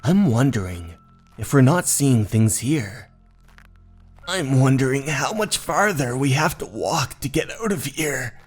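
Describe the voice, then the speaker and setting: A man's voice: mid-range voice, sing-song, unhurried, husky. A man voicing an alarmed, bewildered young male hiker in a horror manga dub, stammering and exclaiming. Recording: music under the voice.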